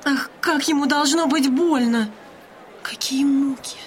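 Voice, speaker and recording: A woman's voice: sits high, measured pace, slight background noise. An actress playing a naive, exclamatory young noblewoman in an audio drama. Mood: shocked pity. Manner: gasping, exclamatory.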